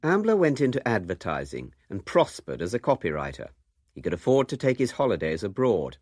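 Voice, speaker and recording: A man's voice: fairly low pitch, wildly swooping pitch, fairly quick, darkish tone, no room sound, clean studio sound. A man with a British accent reading scripted narration for a radio documentary.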